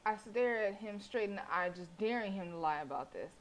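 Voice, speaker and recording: Animated woman streaming a game. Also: mid-range voice, brisk, rough recording. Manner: animated, sassy, colloquial. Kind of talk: live playthrough reading and reacting aloud. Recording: faint background noise.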